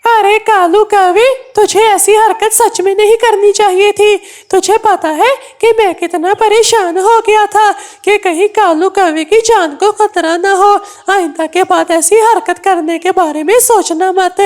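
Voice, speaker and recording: A woman's voice: very high voice, fairly even intonation, measured pace, few pauses, a little mellow. A woman voicing an earnest, easily upset male parrot in a children's cartoon, emphatic and scolding. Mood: offended, scolding. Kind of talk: Hindi kids' cartoon dubbing.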